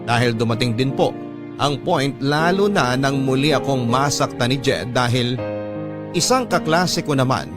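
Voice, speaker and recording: Filipino guy reading a dramatic radio love story, medium pitch, music playing under him. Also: animated, a little slow. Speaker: radio show narrator. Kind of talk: dramatic first-person story narration.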